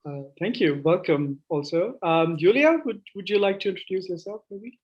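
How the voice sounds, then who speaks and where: A man's voice: sits high, moderate pace, muffled recording. A man hosting an online workshop, calling on participants to introduce themselves in a friendly way. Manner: friendly, inviting, speaks in short prompts. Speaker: workshop host. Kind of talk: casual online meeting. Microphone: video call.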